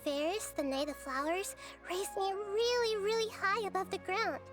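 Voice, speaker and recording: A gentle, dreamy childlike goddess. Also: female voice, very high voice, normal speed, over music. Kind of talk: video game voice acting.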